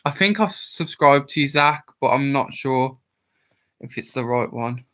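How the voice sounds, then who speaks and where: Tipsy West Midlands man, bright voice. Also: mid-range voice, lively intonation, a little slow. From Worcestershire, England. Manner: rambling, chatty, answers viewer comments aloud. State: drunk. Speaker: livestream host. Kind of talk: casual livestream chat with viewers.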